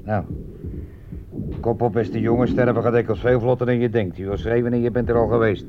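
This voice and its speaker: An actor in a radio play playing a gruff, weary army sergeant with a blunt, commanding but fatherly way of talking. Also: medium pitch, steady tone, a little fast, natural voice. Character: gruff, weary army sergeant looking after his young soldiers. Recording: muffled, crackly old radio play recording.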